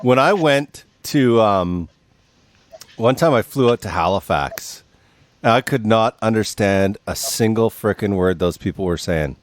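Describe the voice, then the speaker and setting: Male podcast host, lively pitch, dry close sound, jokey. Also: measured pace, dry recording. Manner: animated, laughing storyteller. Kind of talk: casual interview chat.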